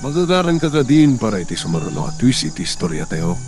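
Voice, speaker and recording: A man's voice: mid-range voice, very animated, fast, over loud music. A man hosting the channel, asking listeners to subscribe. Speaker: channel host. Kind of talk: outro announcement.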